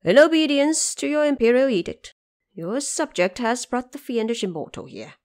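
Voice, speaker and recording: Woman voicing a courteous old celestial envoy, expressive. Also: a little high, normal speed, pitched above the performer's own voice, natural voice. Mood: reverent. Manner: formal, soothing, persuasive.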